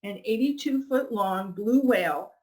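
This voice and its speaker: A woman hosting a podcast, reading a scripted introduction and asking interview questions in a measured, clear way. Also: medium pitch, expressive, a little mellow, low-quality recording. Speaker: podcast host.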